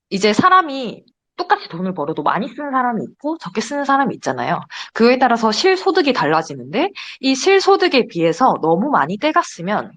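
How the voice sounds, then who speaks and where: Lively young woman presenting. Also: mid-range voice. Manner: chatty, giggles between points. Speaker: presenter at a study group. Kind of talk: casual slide presentation.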